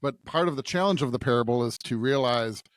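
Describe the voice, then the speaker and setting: A man's voice: medium pitch, fast talker, dry recording. A man co-hosting a podcast, reflecting casually on scripture in an earnest way, repeating phrases for emphasis and checking in with his listener. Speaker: podcast co-host.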